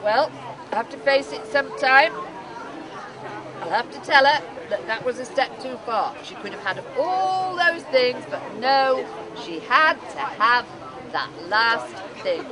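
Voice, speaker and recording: A humble fisherman from a storybook, acted out by a woman storyteller, anxious and wistful. The voice is fairly high and exclaims dramatically, over the loud bustle of an outdoor festival crowd. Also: lively intonation, a little slow, distant mic. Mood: anxious dread. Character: humble fisherman, startled, fretful, wistful husband. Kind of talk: live storybook-style oral storytelling.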